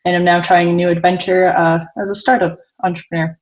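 A woman's voice: fairly low pitch, little pitch movement, a little fast, highs cut off. An American woman in her thirties talking casually as an interview guest, acting out quotes as she explains. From United States (Boston). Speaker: interview guest, tech entrepreneur.

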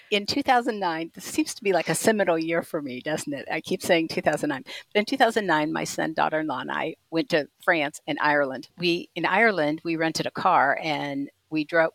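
An older woman with a lowish voice chats about her writing, circling back and restarting as she goes. The sound is dry and close, with no room around it. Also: creaky, dry recording, rough recording. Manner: chatty, with frequent restarts and laughs. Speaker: author guest. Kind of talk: casual interview chat.

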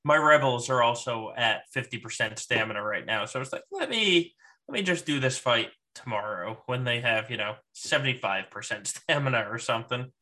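Bright-voiced male gaming podcaster. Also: mid-range voice, lively intonation, a little fast. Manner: informal, conversational. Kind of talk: casual chat about a mobile game.

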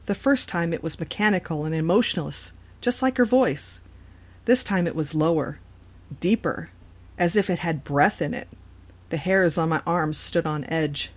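Female narrator, slightly low pitch, scripted first-person horror storytelling, moderate pace. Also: moderate pace.

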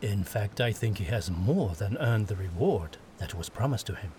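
A man's voice: on the low side, expressive, normal speed, darkish tone, a little hiss or hum, close to an everyday voice. A man voicing a young witch hunter who speaks earnestly and humbly.

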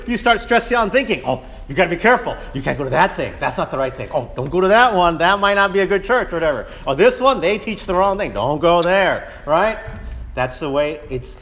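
A man's voice: fairly high pitch, sing-song, fairly quick, fairly bright tone. A man preaching a sermon as a pastor in a conversational way, often checking in with listeners by asking "right?". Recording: long hall reverb.

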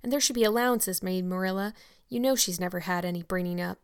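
A woman reading an audiobook voices a shy, soft-hearted older farmer who speaks in a mild, hesitant, mournful way. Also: on the low side, fast, clean tone, lightly acted. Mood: pleading.

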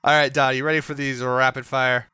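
A man's voice: medium pitch, level tone, a little fast, sharp tone, smooth. A man co-hosting a podcast in casual banter, wry and playfully literal. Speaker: podcast co-host.

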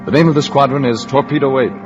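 An actor playing a solemn, dramatic narrator of a war story in 1940s radio drama. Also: male voice, medium pitch, quick pace, lightly acted. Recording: muffled vintage radio over music.

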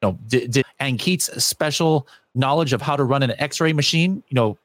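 A man's voice: mid-range voice, moderate pace, dry recording. A man hosting a podcast in casual interview chat, rambling with many fillers and restarts. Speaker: podcast host.

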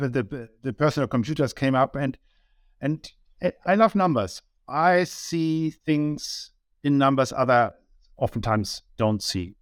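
A man's voice: mid-range voice, dry recording, clean studio sound. A man in his sixties from Germany with a German accent, talking earnestly as an interview guest and often restarting his sentences. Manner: earnest, many small restarts. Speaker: guest, business owner. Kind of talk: casual interview talk.

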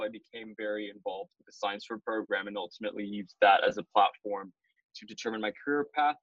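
A young man with a low voice, a podcast guest who explains in long, orderly sentences. The sound is dry and a little dull, with no room around it. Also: a bit bright, dry recording. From Malaysia. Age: young adult. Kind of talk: podcast interview.

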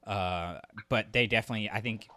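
A man's voice: lowish voice, brisk, sharp tone, no room sound. A man co-hosting a podcast, discussing stories in a casual, opinionated, conversational way. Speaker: podcast co-host.

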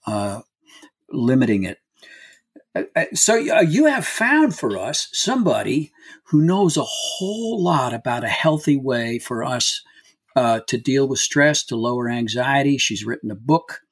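Husky chatty male podcast host. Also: mid-range voice. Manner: relaxed, chatty, frequent fillers. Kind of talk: podcast conversation.

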